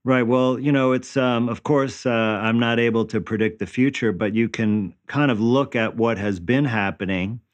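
A man's voice: medium pitch, moderate pace, hardly any pauses. A man in his fifties answering interview questions conversationally, explaining things and often checking for agreement. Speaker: interview guest, natural healing practitioner.

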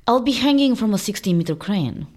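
Audio drama role: actress as wry, daring female stunt performer, animated mid-pitched voice. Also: brisk, lightly acted. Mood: insistent. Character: cool, daring female Russian escape artist.

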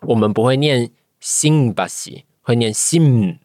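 A woman's voice: very deep voice, lively intonation, takes it slowly, dark tone, no echo, clean studio sound. A woman with a Taiwanese Mandarin accent, a podcast guest giving a casual personal account and often searching for words. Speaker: podcast guest, voice acting trainee.

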